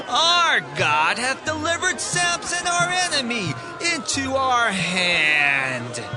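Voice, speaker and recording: Gloating ancient reveller for Bible drama: very high, exultant shout over a noisy crowd. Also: male voice, sing-song, extremely slow, over music, heavily acted. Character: gloating, jeering Philistine reveller. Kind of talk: dramatized audio Bible acting.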